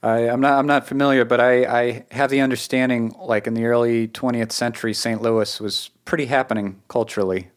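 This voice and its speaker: A man's voice: mid-range voice, steady tone, fairly quick, hardly any pauses. A man with a New England accent, hosting a casual conversational podcast interview. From New England, United States. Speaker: podcast host.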